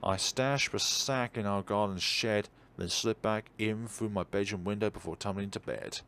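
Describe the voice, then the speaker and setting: A man's voice: lowish voice, fairly even intonation, normal speed, a bit bright, slight background noise. A man reading a horror visual novel aloud in a dramatic narrating style, as a let's player.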